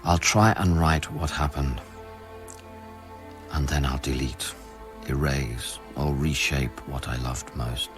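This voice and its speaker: A man's voice: very deep voice, steady tone, slow pace, music in the background. An actor playing a subdued, troubled male scientist in a radio drama, speaking in a hesitant, reflective way. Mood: haunted, reflective. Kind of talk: restrained radio drama acting.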